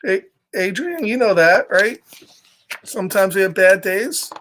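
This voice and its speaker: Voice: medium pitch, animated, unhurried, a bit bright, slight background noise. A children's storyteller reading a picture book casually, in simple repeated phrases, checking in with listeners.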